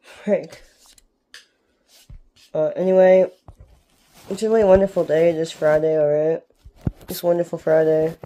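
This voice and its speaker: A young man from Canada giving rambling, casual gameplay commentary with a sore, strained throat, recorded on a phone filming a TV in a dark room at home. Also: on the high side, fairly even intonation, measured pace, pauses often. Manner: rambling, keeps asking "alright?". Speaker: gaming YouTuber.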